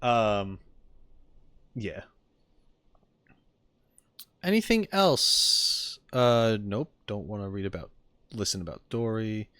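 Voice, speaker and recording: A man's voice: lowish voice, expressive, slow pace, pauses often, bright, forceful tone, clear, resonant tone, no room sound. A man streaming casually and chatting with his viewers, with playful asides as he reacts to game content. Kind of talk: casual livestream chat.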